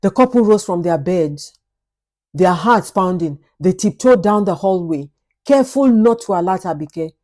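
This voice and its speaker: Female storyteller, mellow tone, expressive and suspenseful, for dramatic folktale narration. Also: fairly low pitch, normal speed.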